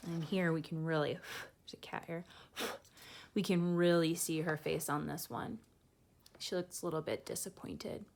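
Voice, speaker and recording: A woman's voice: low voice, fairly even intonation, a little slow, vocal fry, a little hiss or hum. A woman reading a picture book aloud like a teacher, expressively, with casual asides explaining feelings to young listeners.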